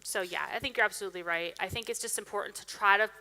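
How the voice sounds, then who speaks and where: Brief: woman conference speaker, bright crisp voice, animated off-the-cuff Q&A, heard in a big echoing hall. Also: mid-range voice, rapid-fire. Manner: animated, chatty, many restarts. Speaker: conference speaker taking questions. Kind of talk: casual Q&A after a talk. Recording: reverberant hall sound.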